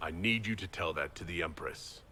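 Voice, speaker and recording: A man's voice: sits low, a little fast, bright, forceful tone, a little hiss or hum. A man playing a resolute, commanding hero in a fantasy game, firm and direct. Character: resolute young mage hero, commanding inquisitor. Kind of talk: fantasy game cutscene acting. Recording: music behind the voice.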